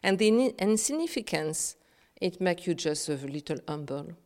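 A woman from Réunion, a Creole speaker, speaking earnestly as an interview guest, halting with many fillers and restarts. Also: on the low side, big pitch swings, darkish tone, big-room sound. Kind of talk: reflective conversational interview.

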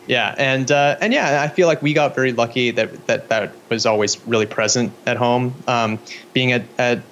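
An American man being interviewed as a guest, speaking casually and hesitantly with many pauses and restarts. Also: medium pitch, fairly bright tone, muffled recording, a little hiss or hum. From United States. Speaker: guest filmmaker.

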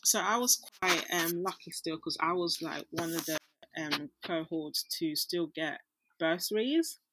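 Low-voiced young woman, casual interview guest. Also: unhurried, background noise. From Austria. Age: young adult.

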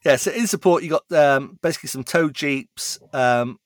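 A man's voice: on the high side, normal speed, dry recording, studio quality. A man co-hosting a podcast, casually explaining tabletop wargame rules in long runs with frequent restarts. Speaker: podcast co-host.

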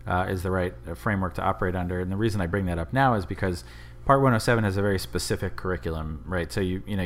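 A man co-hosting a podcast, talking in a conversational discussion style. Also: low-pitched. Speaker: podcast co-host.

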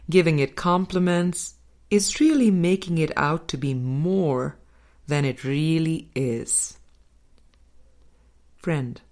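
A woman narrating a spiritual audiobook, reading scripted text in measured, deliberate phrases. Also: sits low, a little mellow. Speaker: audiobook reader. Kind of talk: scripted spiritual book narration, read aloud.

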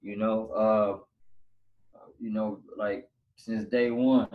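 A man's voice: lowish voice, little pitch movement, slow pace, recorded from a distance. A man from Los Angeles joining a podcast as a guest, talking in a laid-back way. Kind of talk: casual chat. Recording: dull remote call line.

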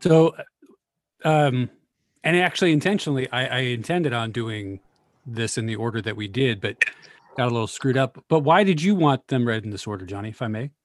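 A man's voice: medium pitch, brisk, dry recording. A man moderating a casual discussion after a play reading.

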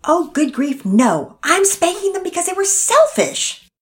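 An irritable storybook mother who talks in loud, huffy bursts, put on by a woman reading aloud. Her voice sits high, well above the reader's own. She sounds short-tempered and fed up. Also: lively intonation, moderate pace, lightly acted. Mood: irritated. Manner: loud, huffy, rising to tearful. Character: exasperated mother, quick to anger.

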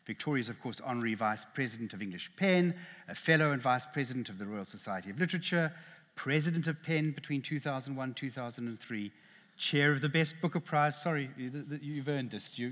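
A man's voice: medium pitch, a little fast, a bit bright. South African man chairing a panel in a hall. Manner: self-deprecating, hesitant, lots of restarts. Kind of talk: hosting a literary panel. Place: lecture hall. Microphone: headset. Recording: reverberant hall.

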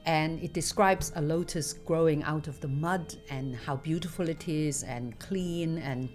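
Woman in her 70s, low-pitched, anecdotal, chuckling, soft music faintly behind. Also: a little slow. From Hong Kong. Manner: anecdotal, laughs between stories. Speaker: singer interviewed as guest. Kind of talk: reminiscing interview.

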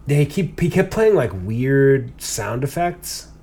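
A man's voice: mid-range voice, big pitch swings, slow pace, warm tone. A slightly congested man co-hosting a podcast, chatting casually about film and TV in a dry, teasing manner. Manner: dry, teasing, mildly profane. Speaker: podcast co-host. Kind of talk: casual chat about movies and TV.

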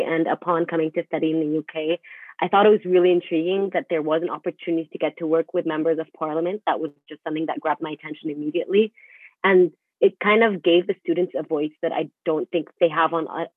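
A young woman talks very quickly and enthusiastically as a student podcast guest. The sound is thin and phone-like, and she chats casually. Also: low-pitched, fairly even intonation, dry recording. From Middle East. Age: young adult.

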